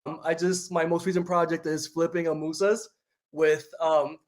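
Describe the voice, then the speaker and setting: A man's voice: fairly high pitch, normal speed. Chatty young New man on a call. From New York City. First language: Spanish. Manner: relaxed, chatty, many "like" fillers. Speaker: guest. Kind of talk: casual interview chat. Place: home room, remote video call. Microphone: video call.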